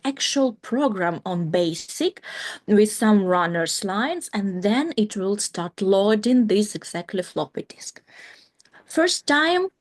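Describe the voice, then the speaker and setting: Ukrainian woman, 30s, guest on a chat show, slow and kinda high-pitched, lots of likes and restarts. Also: a little mellow. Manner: many "like" fillers and restarts, non-native grammar. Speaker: guest, company founder. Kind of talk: casual interview talk.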